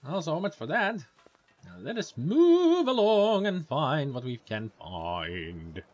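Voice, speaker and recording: Sarcastic, animated gameplay commentator man. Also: fairly high pitch, takes it slowly, no room sound, faint music behind. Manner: rambling, sarcastic asides. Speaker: let's play commentator.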